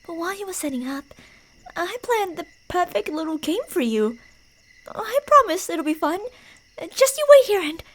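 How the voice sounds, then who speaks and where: A woman playing a delusional, playfully menacing yandere killer in an ASMR roleplay, teasing in a sing-song way and slipping into breathy whispers. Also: very high-pitched, big pitch swings, normal speed, a bit bright, heavily acted. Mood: eager, playful.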